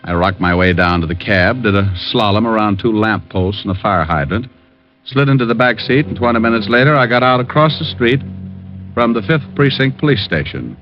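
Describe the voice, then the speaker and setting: An actor playing a wry, glib private detective in an old-time radio drama. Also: male voice, lowish voice, lively intonation, brisk, faint music behind, close to an everyday voice. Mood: wry narrating. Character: wisecracking, easily flustered male private detective. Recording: muffled old radio recording.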